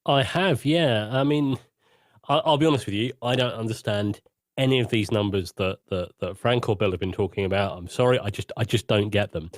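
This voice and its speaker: A man's voice: fairly low pitch, fairly quick, no room sound, clean studio sound. A man chatting casually and candidly as a podcast panelist, in a self-deprecating way. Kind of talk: casual chat, personal anecdote.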